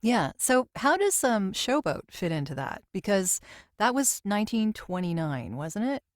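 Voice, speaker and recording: A woman's voice: mid-range voice, very animated, unhurried, no room sound. Female interviewer, mid-range voice, casual conversational podcast hosting, recorded very dry.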